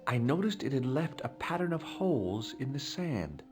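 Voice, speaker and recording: An actor playing an adult male adventurer, narrating his own actions in a measured, dry way. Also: medium pitch, big pitch swings, music in the background, lightly acted. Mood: dry, matter-of-fact. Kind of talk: game character narration.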